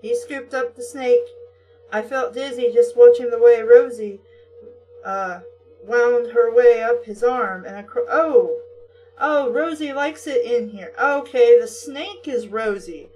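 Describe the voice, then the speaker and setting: A woman's voice: fairly high pitch, slow, mellow, far from the mic, rough recording. A woman reading a story aloud and breaking off into sarcastic, sweary, exasperated casual commentary. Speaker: reader and commentator.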